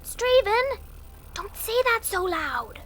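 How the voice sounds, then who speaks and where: Small female fairy ranger, played by a woman: very high, bright voice, feisty and bold, audio drama. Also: very animated, slow, slight background noise, heavily acted. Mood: scolding.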